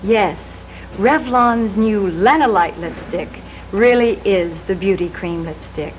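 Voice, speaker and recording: A woman's voice: medium pitch, slow. A woman giving a scripted product demonstration for a 1950s television commercial. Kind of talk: scripted live television advertising pitch. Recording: dull, lightly noisy 1950s television broadcast.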